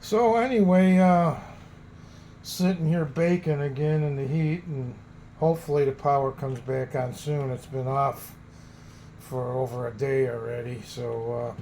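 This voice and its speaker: A man's voice: mid-range voice, steady tone, a little slow, warm tone. A man hosting a hobby video, chatting casually and informally to his viewers. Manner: informal, folksy, addressing viewers as guys. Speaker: host of a radio hobby video channel. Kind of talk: casual vlog demonstration chat. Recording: faint background noise.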